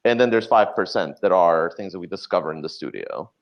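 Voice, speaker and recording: A man's voice: sits low, animated, brisk. A man in a casual interview, explaining things as a guest writer and acting out little bits of dialogue. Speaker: podcast guest, audio drama writer. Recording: slightly dull, lo-fi audio.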